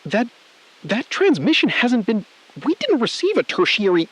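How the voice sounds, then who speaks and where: A high-voiced male mission control official played by an actor, with lively intonation. He hides behind procedure in formal, faltering phrases, in the style of a scripted audio drama. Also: moderate pace, heavily acted, rough recording. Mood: flustered.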